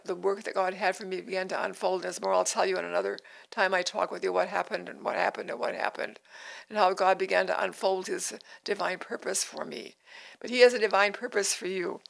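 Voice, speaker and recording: Woman in her 80s hosting a faith podcast, gravelly voice, earnest and repetitive. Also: mid-range voice, steady tone, fairly quick, a bit bright, poor audio. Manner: earnest, repeats phrases, restarts sentences. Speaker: podcast host. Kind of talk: devotional podcast talk.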